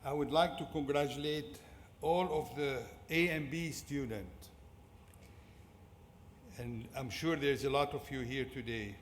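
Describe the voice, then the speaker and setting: A middle-aged man from Syria with a Syrian Arabic accent, giving a prepared motivational commencement speech in deliberate short phrases. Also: medium pitch. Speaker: commencement speaker. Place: large indoor fieldhouse at a ceremony. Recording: echoey fieldhouse PA with clear background noise.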